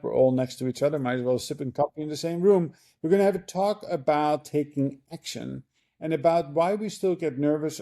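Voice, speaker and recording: Male podcast host, gravelly and mellow, rambling interviewer with frequent "uh"s. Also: a little high. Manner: rambling, many fillers and restarts. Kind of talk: casual interview talk.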